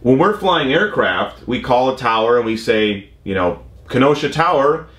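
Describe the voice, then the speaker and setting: An American man in his fifties talks in an informal, explanatory way, like a vlog host walking viewers through details. His voice sits at an average pitch, and the room around him adds clear echo. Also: lively intonation, normal speed, a bit bright. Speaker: vlog host, commercial drone pilot. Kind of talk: conversational explainer. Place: indoor workshop or studio room. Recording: roomy, echoing indoor sound.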